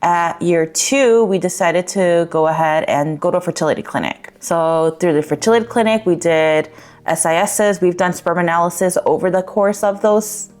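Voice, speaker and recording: A young woman giving a candid personal vlog update, rambling a little with fillers, her voice slightly raspy. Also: fairly low pitch, moderate pace, darkish tone. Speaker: vlogger.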